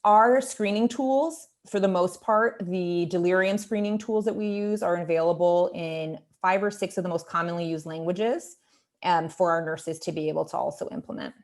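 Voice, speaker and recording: A woman doctor whose home language is Lithuanian presents on a video call panel, earnest and quick to restart her sentences. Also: lowish voice, fairly even intonation. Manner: earnest, strings questions together with restarts. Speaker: emergency physician presenting for a hospital team.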